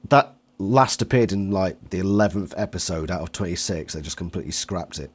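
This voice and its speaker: A man's voice: deep voice, fairly quick, husky. A British man in his late twenties chatting casually and with opinions as an interviewer. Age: late 20s. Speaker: interviewer, longtime fan.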